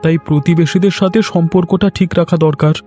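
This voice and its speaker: A man's voice: fairly high pitch, fairly even intonation, fast, warm tone, soft background music, natural voice. A man voicing an easygoing, dutiful young villager in a cartoon story. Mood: calm, resigned. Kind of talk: Bengali moral-story cartoon voice acting.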